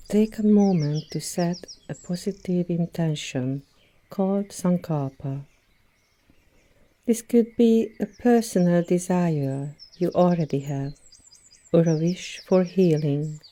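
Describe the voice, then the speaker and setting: A woman leading a guided yoga nidra meditation as an instructor, speaking in a soothing, gentle manner with long pauses between phrases. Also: fairly low pitch. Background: birdsong and nature sounds.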